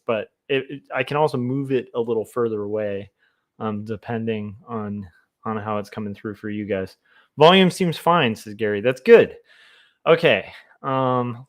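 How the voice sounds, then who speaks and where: A man with a loud voice chatting casually to viewers on a livestream while he draws. He rambles, with lots of fillers, and talks into a mic placed close to him. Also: fairly low pitch. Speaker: comic artist streamer. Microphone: desk mic close to the mouth.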